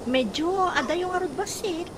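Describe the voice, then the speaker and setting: A woman's voice: extremely high pitch, moderate pace, background noise. An actress playing a chatty, exclamatory village woman in an Ilocano radio comedy drama. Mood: chatty, teasing. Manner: exclamatory, expressive.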